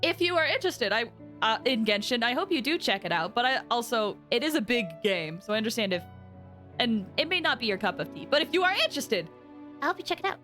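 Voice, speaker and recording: A woman casually commentating on a live game stream, chatty and excitable, close on the microphone. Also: fairly high pitch, fast, faint music behind. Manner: chatty, excitable, swoops into squeals.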